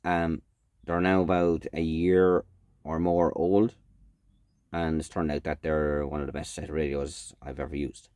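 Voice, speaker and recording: A man giving a casual, unscripted product demonstration as a reviewer, in a halting, matter-of-fact way. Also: a bass voice, level tone, a little mellow, clean tone, no room sound.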